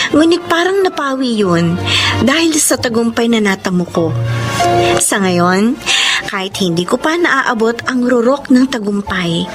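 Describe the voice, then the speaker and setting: A hopeful young Filipina daughter in a radio drama. The voice is high, earnest and heartfelt, with music clearly behind it. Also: female voice. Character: grateful, hopeful young daughter from a poor family. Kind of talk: Philippine radio drama acting.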